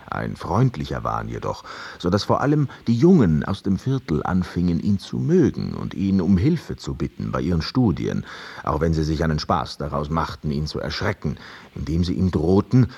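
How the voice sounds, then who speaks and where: A deep, husky male storyteller. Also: lo-fi sound, heavily acted. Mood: amused. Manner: expressive, deliberate, dramatic. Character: storyteller of an old tale, wry and theatrical. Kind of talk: radio drama storytelling, scripted narration.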